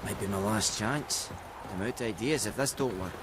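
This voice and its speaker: A man's voice: on the low side, normal speed, very noisy, close to an everyday voice. An actor playing a wordy, down-on-his-luck travelling merchant in a video game, pleading in a theatrical manner.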